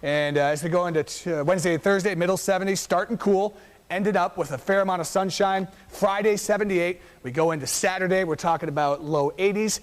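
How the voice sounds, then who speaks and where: A man's voice: high voice, steady tone, brisk. A man giving a TV weather forecast in an upbeat, chatty, enthusiastic manner from a news studio. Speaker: chief meteorologist. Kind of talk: TV weather forecast, conversational broadcast.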